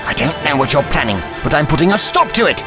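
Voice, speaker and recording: Audio drama hero, man playing urgent, indignant time traveller: high-pitched, hugely varied intonation, music underneath. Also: sing-song, quick pace. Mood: commanding, urgent. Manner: earnest, urgent, exclamatory.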